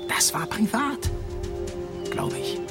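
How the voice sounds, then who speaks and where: An actor playing a smug, evasive banker in a German radio crime drama, with a glib, condescending manner. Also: male voice, high voice, animated, takes it slowly, loud music behind the voice. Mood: gossipy, excited. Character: smooth-talking, evasive, snobbish male banker. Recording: clear background noise.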